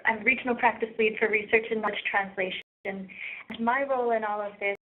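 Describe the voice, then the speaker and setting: A soft-voiced woman with a Canadian accent giving a webinar presentation over a thin conference line. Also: medium pitch, fairly even intonation, fairly quick, narrow, tinny audio. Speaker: presenter, research practice lead.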